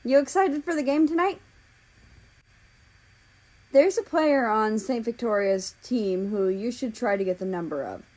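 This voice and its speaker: A woman's voice: a little high, expressive, a little slow, pauses often, a little mellow. A woman voicing a cold, curt, scheming teenage boy, an ex-boyfriend, in a story reading.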